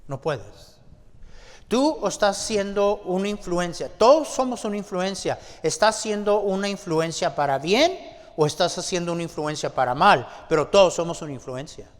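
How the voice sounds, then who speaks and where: A man preaching a sermon to his congregation, deliberate and asking them rhetorical questions. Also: high-pitched, lively intonation. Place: church sanctuary. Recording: long church-hall reverb.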